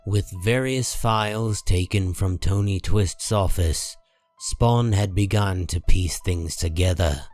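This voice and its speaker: Man, low voice, slow pace, grave dramatic narration, comic book storytelling, faint music bed. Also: darkish tone. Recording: music under the voice.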